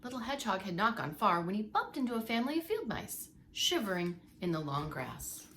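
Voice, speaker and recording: A woman's voice: mid-range voice, sing-song, a little fast, fairly bright tone, slight background noise. A woman reading a story aloud like a preschool teacher, with dramatic emphasis and asides to young listeners. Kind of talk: expressive read-aloud storytelling for young children.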